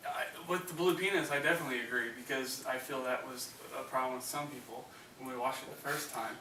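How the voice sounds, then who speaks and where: A man's voice: mid-range voice, fast talker, sharp tone. A man co-hosting a podcast, casually discussing films in a conversational style. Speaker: podcast co-host. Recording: echoey, distant mic, faint noise.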